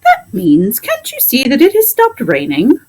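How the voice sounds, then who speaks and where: A woman's voice: extremely high pitch, huge pitch swings, a little slow, creaky, a little mellow, pitched above the performer's own voice, heavily acted. A woman voicing a bossy, knowing female parrot who instructs emphatically in a storybook. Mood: impatient instructing.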